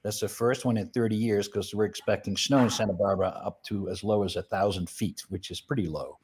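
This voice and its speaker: A man's voice: fairly low pitch, brisk, slight background noise. A man in his sixties from the Netherlands, a guest chatting easily on a video call with a tongue. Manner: relaxed, chatty, uses fillers. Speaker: guest, flower company CEO. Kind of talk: casual interview talk.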